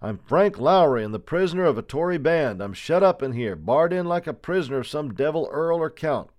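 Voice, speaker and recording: A man voicing a desperate young patriot soldier held prisoner in an audiobook story, shouting and pleading urgently. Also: fairly low pitch, lively intonation, a little fast.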